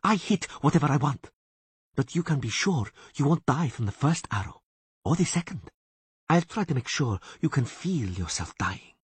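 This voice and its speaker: A man's voice: medium pitch, very animated, moderate pace, breathy edge, dry recording, voice pushed up. A man reading a story, voicing a cruel, spiteful young female elf warrior who hisses her taunts. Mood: savage scorn. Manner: hissing, contemptuous taunts.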